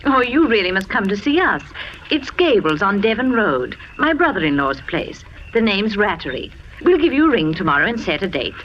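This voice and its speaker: A woman's voice: mid-range voice, sing-song, normal speed, natural voice. A woman playing a friendly, effusive young society lady in an old radio drama, genteel in manner. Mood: gushing, friendly. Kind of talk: 1940s radio drama acting. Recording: dull, slightly noisy old radio recording.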